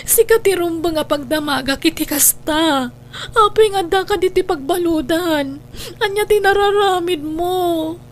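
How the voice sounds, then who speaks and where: Woman acting high-voiced pleading young sister. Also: measured pace, slight background noise. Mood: tearful, reproachful. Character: young female student, pleading, devoted orphaned younger sister. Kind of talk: Ilocano radio drama acting.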